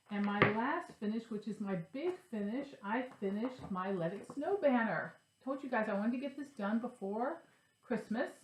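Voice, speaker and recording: A woman with a stuffy, nasal voice from a cold, chatting casually to her viewers about her craft projects. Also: medium pitch. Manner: chatty, upbeat, shows her stitching. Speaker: cross-stitch vlogger. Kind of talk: casual chat to camera. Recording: echoey room.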